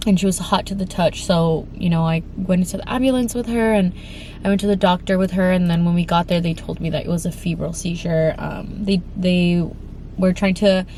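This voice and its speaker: A woman's voice: fairly low pitch, fairly even intonation, brisk, dark tone, a little hiss or hum. Congested young woman telling a frightening story. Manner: rambling with many fillers and restarts. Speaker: vlogger. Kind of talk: emotional storytime vlog.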